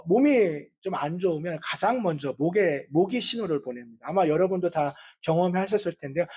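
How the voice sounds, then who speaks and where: A male pastor giving a Sunday sermon in an earnest, measured way, with a voice he calls not strong and easily strained. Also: on the high side, a little mellow, slightly rough. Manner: earnest, with measured pauses and frequent fillers.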